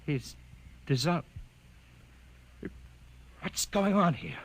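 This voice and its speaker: An actor playing an urgent military commander in a 1950s radio drama, barking out orders. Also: male voice, on the high side, lively intonation, very slow, exaggerated voice. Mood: alarmed, urgent. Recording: noisy old radio recording.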